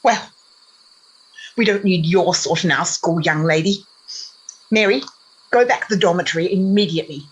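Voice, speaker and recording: A woman's voice: on the low side, normal speed, pauses often, breathy edge, dull audio. A young girl playing a stern, cruel schoolmistress in an amateur radio play, imperious and scolding. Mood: cold, severe. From Australia. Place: at home over a video call.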